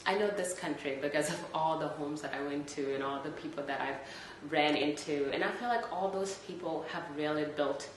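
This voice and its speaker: Woman with low voice, ums a lot, big echo. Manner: halting, with frequent "um". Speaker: mother sharing her story. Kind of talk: personal self-introduction. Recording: very echoey room with faint noise.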